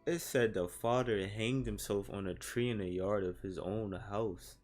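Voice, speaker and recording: A man's voice: lowish voice, fairly even intonation, measured pace, a little mellow. A man casually commenting on a video game as he plays, sometimes reading its text aloud. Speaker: game streamer. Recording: game music behind the voice.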